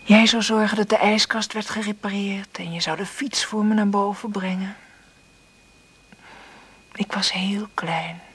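An actress in a Dutch radio play playing a weary, struggling mother, husky and intimate. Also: mid-range voice, fairly even intonation, a little slow, pauses often. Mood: wistful. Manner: intimate murmured monologue, gentle with her child. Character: weary, struggling mother confiding in her diary.

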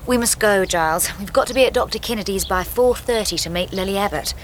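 A woman's voice: mid-range voice, fast talker, a little hiss or hum, natural voice. An actress playing an earnest, inquisitive young married British woman in a radio drama. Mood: anxious.

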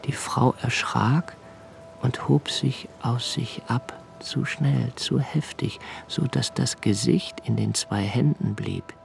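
An actor playing an anxious, brooding young writer in a literary radio drama monologue, intense and deliberate in delivery. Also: male voice, mid-range voice, gravelly. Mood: haunted, fearful. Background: music.

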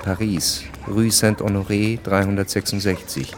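A man narrating a radio play, reading scripted literary prose in a measured, dramatic way. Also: lowish voice, little pitch movement, slight background noise. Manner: measured, literary, present-tense storytelling.

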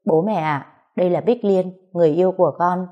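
A woman's voice: fairly low pitch, a little fast, dull audio, close to an everyday voice. A woman voicing a polite young adult son who pleads with his parents in an audio story. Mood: pleading.

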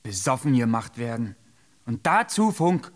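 An actor playing an indignant young radio technician in a German radio drama, blunt, urgent and combative. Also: male voice, mid-range voice, sing-song, unhurried. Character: indignant young socialist radio technician, working-class firebrand.

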